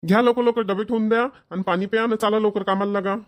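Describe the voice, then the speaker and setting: Guy doing annoyed farm boss in a cartoon, high raised voice, varhadi marathi accent, scolding and hurrying people up. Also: fairly even intonation, quick pace, dry recording, highs cut off, natural voice. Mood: impatient, scolding. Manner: scolding, urgent. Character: impatient, scolding village farm boss waiting on his workers. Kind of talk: cartoon comedy dialogue.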